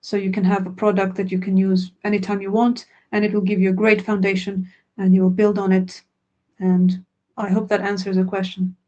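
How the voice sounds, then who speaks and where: A woman whose native language is Croatian, explaining her work in a sincere, straightforward way during a webinar Q&A. She speaks at a middle pitch, as a teacher walking through her answers. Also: little pitch movement, brisk, dark tone, dull audio. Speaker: language teacher and product founder.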